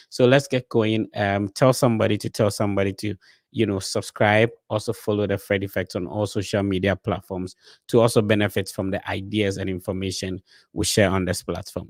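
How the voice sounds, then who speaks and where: A man hosting an interview show who asks his questions haltingly, circling back and restarting. His voice sits fairly low, and the sound is dry and close. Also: a little mellow, no room sound. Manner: hesitant questions with fillers and restarts. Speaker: host. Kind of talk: interview host.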